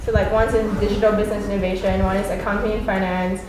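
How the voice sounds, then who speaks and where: A woman's voice: medium pitch, level tone, quick pace, recorded from a distance, slight background noise. A young Singaporean woman explains her choices in a plain, matter-of-fact way. She sits some way from the mic, and her voice rings in a very reverberant room. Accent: Singaporean English. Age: young adult. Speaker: polytechnic student. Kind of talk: casual group chat.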